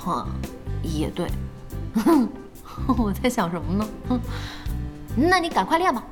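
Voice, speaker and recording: A woman playing a feisty, excitable young guild boss in an audio drama, blunt and expressive. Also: medium pitch, a little slow, over music. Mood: curious, then brisk.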